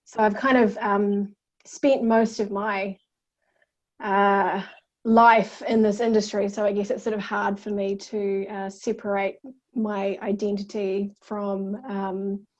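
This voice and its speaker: A woman's voice: medium pitch, little pitch movement, takes it slowly, darkish tone, muffled recording. A woman introducing herself on a video call, hesitant and full of ums. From New Zealand. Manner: hesitant, with many ums and uhs. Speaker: theatre manager.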